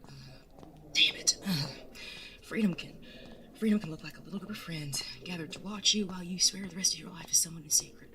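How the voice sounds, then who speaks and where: Woman playing a low-voiced, earnest young bandit groom. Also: sharp tone, slight background noise. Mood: nervous rehearsing. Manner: jittery, earnest, halting. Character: nervous young bandit groom, devoted, earnest, on wedding day. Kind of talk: audio drama acting.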